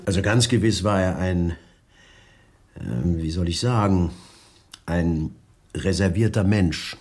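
A man's voice: low voice, lively intonation, slow pace, darkish tone, slight background noise, full character voice. An actor playing a hesitant acquaintance in a radio drama, searching for words as he recalls someone. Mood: musing, amused.

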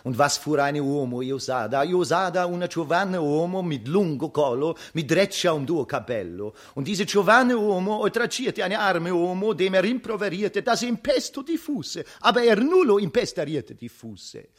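An actor playing an excitable storyteller with a mock Italian accent, reciting theatrically for comic radio. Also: male voice, high-pitched, normal speed, few pauses.

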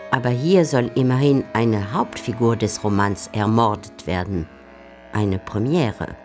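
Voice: medium pitch, darkish tone, natural voice. A narrator commenting on a classic novel in a radio drama, wry and rhetorical.

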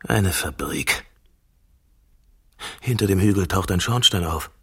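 A man's voice: low voice, measured pace, sharp tone, husky, exaggerated voice. An actor playing a practical, curious man in a radio drama, talking in short matter-of-fact phrases. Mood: curious, uneasy. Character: curious, practical man travelling with his female companion.

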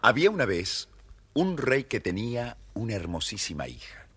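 A man narrating a children's fairytale theatrically in a husky voice. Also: sing-song, moderate pace, loud music behind the voice. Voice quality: husky, deep. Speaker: storyteller, narrator.

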